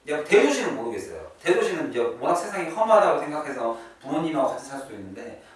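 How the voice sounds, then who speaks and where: A man's voice: a little high, very animated, fast talker. Male classroom English teacher, chatty, swinging intonation, recorded at a distance in a very reverberant room. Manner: chatty, checks in with students often. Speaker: English teacher at a private academy. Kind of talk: classroom lesson, explaining vocabulary and grammar. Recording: echoey room, distant mic, faint noise.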